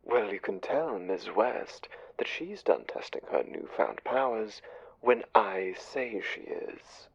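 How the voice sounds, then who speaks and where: A man playing a smooth, commanding, conniving spymaster angel in an audio drama, speaking with measured, condescending menace. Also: fairly low pitch, expressive, no echo, in a whisper, highs cut off, heavily acted. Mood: sly, menacing. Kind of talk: audio drama roleplay, one side of a conversation.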